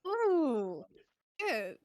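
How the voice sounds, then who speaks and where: A woman chatting casually as a player in a tabletop game, excitable and given to exclamations. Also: high voice, drawn-out words, dry recording, studio quality.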